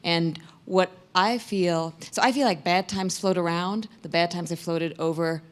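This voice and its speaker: A woman's voice: lowish voice, measured pace. A woman from Sri Lanka speaking as a panelist at a town hall discussion, reflective, often framing points with "I feel". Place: public hall.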